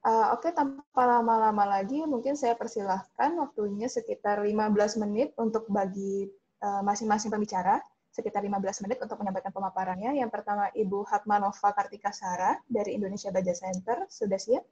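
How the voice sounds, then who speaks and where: A woman's voice: medium pitch, steady tone, a little fast, warm tone. A woman moderating an online public discussion in a polite, hesitant way with many fillers, heard over a video call. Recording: dull lo-fi video call audio.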